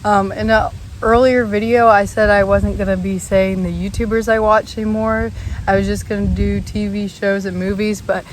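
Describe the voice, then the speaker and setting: A young woman chatting casually to a camera set on a chair outdoors, rambling with lots of ums and apologies, her throat still a little rough after a cold. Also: medium pitch, flat delivery, normal speed, low-quality recording. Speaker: vlogger. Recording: outdoor noise, audible vehicles. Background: outdoor ambience with passing vehicles.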